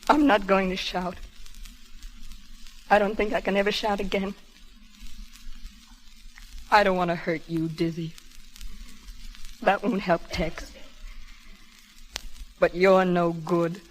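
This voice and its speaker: Casting: bitter, drained young wife of a pilot, very slow delivery, vintage crackly radio drama. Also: female voice, fairly low pitch, level tone. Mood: numb, bitter. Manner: measured, deliberate, drained. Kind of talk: 1930s radio drama acting.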